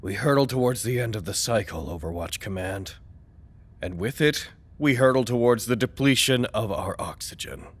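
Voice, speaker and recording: An actor playing an anxious, weary site director in an audio drama, dictating reports in a wordy, formal, dryly exasperated manner. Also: male voice, on the low side, expressive, moderate pace, slightly rough. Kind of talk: audio drama acting, dictated log monologue.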